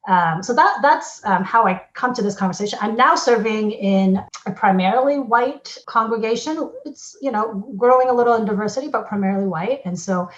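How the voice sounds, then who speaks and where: A woman pastor speaks at a middle pitch in a reflective, unhurried way, often pausing and restarting. The recording is roomy and a little dull, as if captured in an echoing room. She talks casually about her own path. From born in the Philippines, raised in Korea and Ohio. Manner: thoughtful, frequent fillers and restarts.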